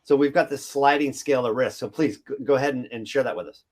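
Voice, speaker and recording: A man's voice: mid-range voice, fast talker. Rambling middle-aged man moderating. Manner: loose, rambling with many restarts and fillers. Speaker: panel moderator. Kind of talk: conference panel discussion.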